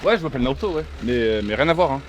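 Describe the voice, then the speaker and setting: Young man, mid-range voice, eager and stammering; loud outdoor noise with traffic. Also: medium pitch, animated, racing. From Brussels, Belgium. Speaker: sidekick in a sketch. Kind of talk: improvised comedy chat.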